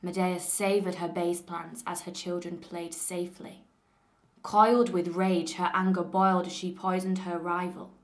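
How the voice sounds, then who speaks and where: A young woman with a Lancashire accent, reading a passage in a clear, measured way and then chatting casually about herself. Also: on the low side. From northern England. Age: young adult. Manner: clear, measured reading; relaxed and chatty when talking freely. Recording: roomy echo.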